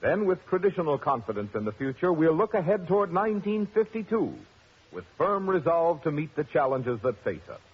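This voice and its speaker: A man reading a formal, earnest radio announcement in a measured, stately delivery. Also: a little high, big pitch swings, breathy edge. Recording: muffled 1950s radio broadcast.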